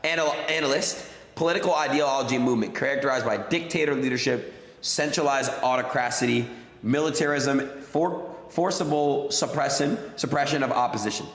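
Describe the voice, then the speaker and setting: A man on a stream, haltingly stumbling through a definition and reacting in exasperated slang. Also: mid-range voice, fairly bright tone. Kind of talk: stumbling read-aloud on a stream. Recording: echoey room clip with faint noise.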